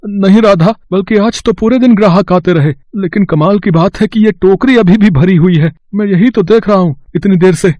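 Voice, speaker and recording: A man voicing a poor, kind-hearted village vegetable seller in an earnest, plaintive, expressive way. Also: high-pitched, moderate pace, dry recording, natural voice. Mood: amazed, earnest.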